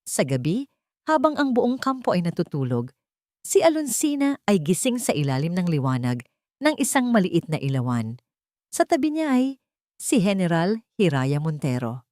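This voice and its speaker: A Filipino woman narrates a scripted drama in a fairly low voice. The delivery is that of a storyteller reading prepared text. The sound is very dry, with no room on it. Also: big pitch swings, moderate pace, a little mellow, no echo.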